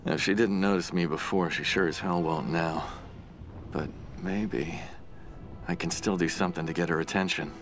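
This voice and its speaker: A young man rambles through a game journal over faint music. He is low-voiced, nervous and self-doubting, covering it with wisecracks. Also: fairly even intonation, moderate pace. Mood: smitten self-doubt. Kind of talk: game voice acting, casual journal monologue.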